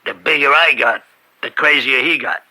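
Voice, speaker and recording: An actor playing an aggrieved, scheming crime boss in a radio drama, talking in rough streetwise grammar and pressing his questions. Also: medium pitch, moderate pace, rough recording. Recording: bright old-time radio recording.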